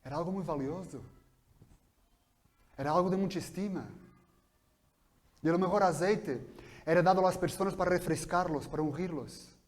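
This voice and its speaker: A man preaching a sermon in a church, his coming through his Spanish, swinging between quiet explanation and loud, fervent passages. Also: high voice, moderate pace, long pauses. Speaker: pastor. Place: church hall.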